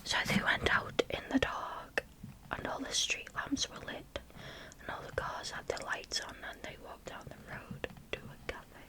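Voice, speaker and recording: A woman reading children's stories in a soft bedtime whisper. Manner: soft unvoiced whisper, slow and sing-song. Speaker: storyteller.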